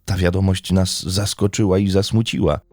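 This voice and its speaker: A man narrating a scripted true crime story in a measured, dramatic storytelling manner. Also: sits low, little pitch movement, darkish tone, no room sound. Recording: faint music behind. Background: faint background music.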